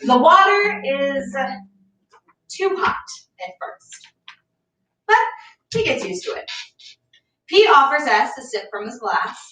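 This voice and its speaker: Bright, slow woman in an echoey room. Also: high-pitched, very animated. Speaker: stage directions reader at a play reading. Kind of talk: scripted narration of stage directions. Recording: echoey room, far from mic.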